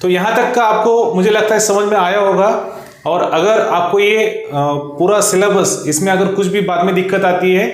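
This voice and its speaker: A man's voice: high voice, steady tone, moderate pace. A man with an Indian accent teaching an online exam lesson, explaining in an instructional way and mixing in English terms. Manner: instructional, mixes Hindi with English terms, frequent check-in tags. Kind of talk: explanatory online lesson, reading out syllabus lists. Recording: very echoey, reverberant room.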